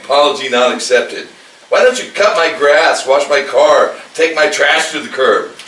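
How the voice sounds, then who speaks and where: Heard off-mic in an echoey room, a man acts out a cantankerous old retiree with a sharp, forceful voice. He is curt and fed up, in the manner of a live comedy table read. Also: a little high, animated, normal speed. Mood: indignant. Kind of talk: staged screenplay table read, comedic acting. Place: live staged reading at a party. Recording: echoey room, distant mic, faint noise.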